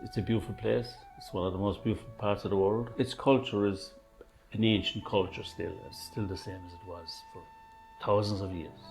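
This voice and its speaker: An older Irish man with a lowish voice tells stories in a lively, warm way. Soft music plays beneath him. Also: brisk, gravelly, poor audio. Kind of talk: interview.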